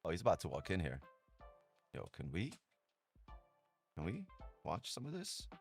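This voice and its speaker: A man's voice: low voice, huge pitch swings, moderate pace, creaky, faint music behind. Profane joking man hosting a stream. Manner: joking, profane, laughs often. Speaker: day-trading livestream host. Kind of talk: casual livestream chat.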